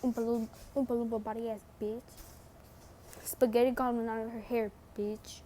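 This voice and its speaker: A young woman giving casual, slangy, sweary and teasing commentary as a gaming vlogger, with unhurried delivery. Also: fairly high pitch, flat delivery, warm tone, slight background noise.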